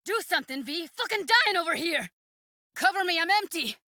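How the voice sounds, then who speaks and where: An actress playing a tough, sardonic but warm-hearted young woman in a video game, talking in casual, clipped slang. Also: very high-pitched, animated, fairly quick, no room sound, studio quality, exaggerated voice. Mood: urgent, shouting. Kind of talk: naturalistic video game voice acting.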